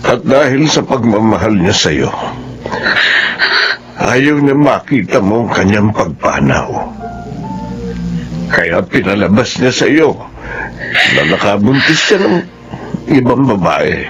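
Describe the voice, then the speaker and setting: A man playing a sorrowful older father in a Filipino melodrama, speaking gravely and haltingly. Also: lowish voice, big pitch swings, fairly bright tone, heavily acted, low-quality recording. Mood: grave, sad. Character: sorrowful older father revealing his dead son's secret. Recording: music behind the voice.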